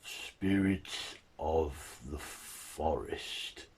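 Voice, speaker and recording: Man voicing a very low, halting monster. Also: slightly rough, full character voice. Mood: slow wonder. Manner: halting, few simple words. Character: lonely childlike hulking monster, halting and learning words.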